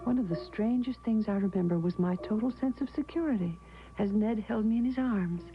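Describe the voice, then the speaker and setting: An actress playing an anxious, inquisitive, devoted wife in an old radio drama, expressive and earnest. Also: female voice, mid-range voice, a little fast, lightly acted. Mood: reflective, uneasy. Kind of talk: old-time radio drama acting with first-person narration. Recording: dull old radio with music.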